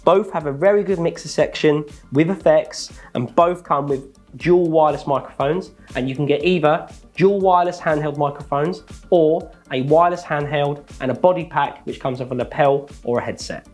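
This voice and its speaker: A man, sounding, calmly walking through product features in a scripted, methodical presentation. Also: fairly high pitch, soft background music. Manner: measured, methodical listing of features.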